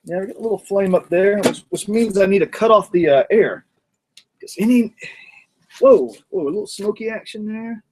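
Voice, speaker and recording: A man's voice: high-pitched, moderate pace, a little mellow, a little hiss or hum. Rambling man grilling outdoors. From North Georgia, USA. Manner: rambling, jokey, talks while working the grill. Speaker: cooking show host. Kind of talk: casual cooking vlog.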